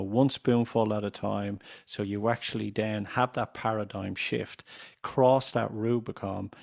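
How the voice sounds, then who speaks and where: A man in his fifties with an Irish accent talks as a podcast guest, with a lowish voice. He speaks slowly and clearly, self-assured and deliberate. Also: a little mellow. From Ireland. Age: 50s. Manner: deliberate, self-assured, slows speech for clarity. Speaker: guest, business coach. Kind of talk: podcast interview.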